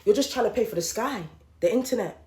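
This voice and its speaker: A woman's voice: a little high, animated, quick pace, dark tone. A woman, hosting a podcast and venting her thoughts in a candid solo monologue, with emphatic repetition. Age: late 30s. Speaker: podcast host. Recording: roomy echoey room.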